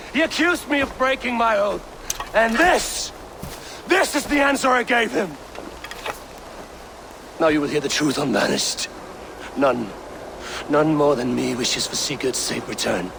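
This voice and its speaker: A man's voice: sits high, expressive, a little slow, creaky, pauses often, heavily acted. An actor playing a proud, fierce male Viking chieftain who speaks solemnly and gives commands. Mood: rallying. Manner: solemn, commanding, oath-swearing. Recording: game audio with noisy background.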